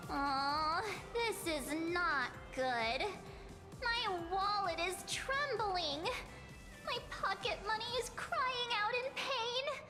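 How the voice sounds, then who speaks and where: Bubbly, excitable young game heroine. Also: female voice, very high voice, takes it slowly, full character voice. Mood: confiding. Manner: animated, dramatic about small troubles. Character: bubbly young woman companion, excitable shopper. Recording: game audio under music.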